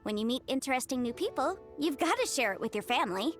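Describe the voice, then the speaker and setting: A high, animated young woman's voice, easygoing and chatty, for a video game character. She sounds breezy and sociable, with faint music behind her. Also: fast. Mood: carefree. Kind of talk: English video game voice acting.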